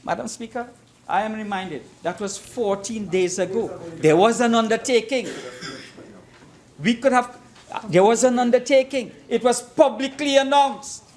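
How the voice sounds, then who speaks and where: A man's voice: very high-pitched, measured pace, a little mellow. Emphatic Trinidadian man debating in parliament. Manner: emphatic, oratorical, repeats phrases for effect. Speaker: opposition member of parliament. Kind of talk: formal parliamentary debate speech. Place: parliament chamber.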